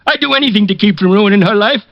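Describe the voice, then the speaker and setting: High-voiced, fearful old ex-con. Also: male voice, quick pace, no room sound. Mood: resigned, confessing. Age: older. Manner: pleading, streetwise slangy speech. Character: frightened, protective old ex-convict street peddler. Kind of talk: 1940s-50s radio drama acting. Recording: dull vintage radio recording.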